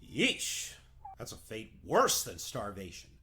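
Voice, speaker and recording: A man's voice: fairly low pitch, wildly swooping pitch, slow, sharp tone, exaggerated voice. A man playing a wry, theatrical storybook narrator, tongue-in-cheek and mock-grand, in a comedic fairy-tale radio play.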